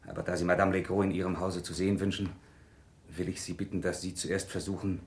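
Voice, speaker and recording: An actor playing a simple, deferential bourgeois husband, humbly courteous and formal, in a classic German radio play. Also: male voice, deep voice, little pitch movement, fast, close to an everyday voice.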